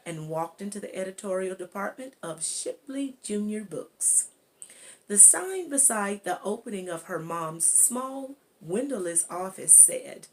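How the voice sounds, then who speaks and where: A woman narrates a school storybook at a slow pace. Her pitch sits in the middle range, and she reads deliberately, often pausing partway through a sentence. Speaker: guest reader. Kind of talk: audiobook-style storybook read-aloud.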